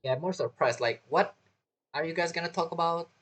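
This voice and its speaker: A man's voice: on the high side, brisk, fairly bright tone. A man giving casual gameplay commentary, musing in a rambling, informal way. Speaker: gaming video creator.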